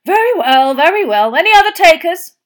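A woman voicing a boisterous, hearty male sports official, loud and jovial. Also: very high-pitched, very animated, a little fast, voice pushed up. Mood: eager.

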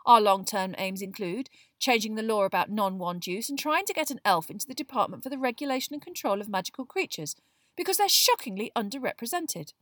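A woman voicing an earnest, bossy teenage schoolgirl in audiobook dialogue, with a brisk, insistent lecturing manner. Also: mid-range voice, lively intonation, fairly bright tone, dry recording, clean studio sound, natural voice. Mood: impassioned, insistent.

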